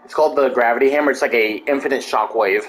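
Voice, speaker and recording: A man's voice: mid-range voice, quick pace, a bit bright, a little hiss or hum. A man streaming a video game, chatting casually and teasing his friend. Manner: playful, teasing, bursts of silly voices. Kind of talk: casual gaming chat with a friend.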